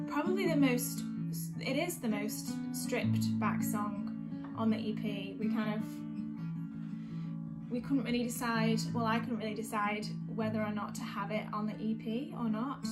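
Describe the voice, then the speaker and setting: A woman from the North West talks casually as a singer-songwriter between songs at an online gig. Her manner is informal and a little hesitant, and she often corrects herself as she goes. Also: on the high side. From North West England.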